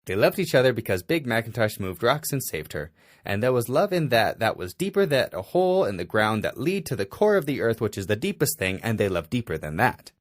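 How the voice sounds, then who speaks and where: A man's voice: mid-range voice, very animated, fairly quick, dry recording, studio quality. A man reading a story aloud in an exaggerated, mock-serious dramatic way. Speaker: amateur fanfiction reader.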